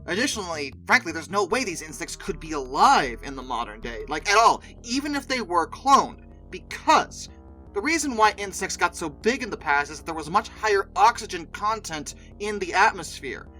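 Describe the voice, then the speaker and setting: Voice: mid-range voice, sing-song, normal speed, pushed and bright, faint music behind, rough recording. A host giving conversational, opinionated video commentary, with emphatic asides. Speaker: host of a video ranking show.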